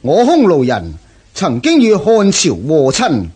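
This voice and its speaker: An actor playing a proud, scornful Xiongnu chieftain in a Cantonese historical radio drama, declaiming grandly with a Hong Kong accent. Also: male voice, fairly high pitch, huge pitch swings, unhurried, darkish tone, slightly rough, full character voice. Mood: solemn, resolute.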